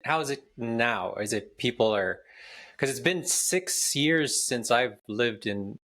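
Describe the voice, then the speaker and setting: A man's voice: medium pitch, lively intonation, takes it slowly, fairly bright tone. A man hosting a podcast interview, asking long, conversational questions. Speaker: podcast host.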